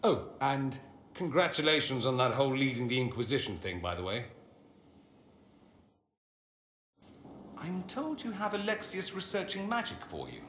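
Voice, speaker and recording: Proud witty mage, theatrical sardonic delivery, very animated pitch, roomy echo, game dialogue. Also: male voice, fairly quick. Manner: sardonic, flamboyant, articulate. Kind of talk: dramatic video game dialogue. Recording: roomy echo, faint ambient noise.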